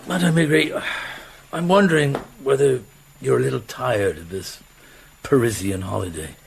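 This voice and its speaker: A man's voice: mid-range voice, moderate pace, gravelly, a little hiss or hum, lightly acted. A man playing a patient middle-aged police inspector in a radio drama, speaking in a hesitant, wry murmur. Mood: wry, mild. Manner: hesitant, murmuring, stammers mildly. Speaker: actor in the lead role. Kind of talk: naturalistic radio drama acting.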